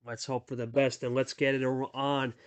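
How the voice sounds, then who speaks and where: A man's voice: little pitch movement, moderate pace. A man doing casual play-by-play commentary on a card game, chatty and hyped, talking with his co-host. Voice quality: deep radio voice.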